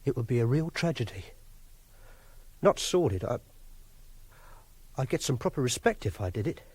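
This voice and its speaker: Awkward loner guy, radio play confession, slightly husky, halting n morbid, super dry close mic. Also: medium pitch, very animated, a little mellow, no room sound. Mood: resigned, self-pitying. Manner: halting, plain-spoken, brooding. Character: quiet, socially awkward, obsessive male loner, self-pitying and morbid. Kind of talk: confessional first-person radio drama monologue. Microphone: close, dry studio microphone.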